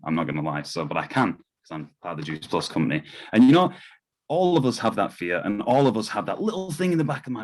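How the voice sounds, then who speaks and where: A man with a low voice delivers a business presentation on an online call. He speaks persuasively and directly to the listener, posing rhetorical questions in a motivational style.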